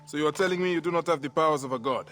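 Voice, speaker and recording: An actor playing a grim, vengeful Medjay warrior in a video game cutscene, terse and grave with dry teasing. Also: male voice, fairly high pitch, very fast, faint music behind, lightly acted. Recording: long reverb, steady background noise.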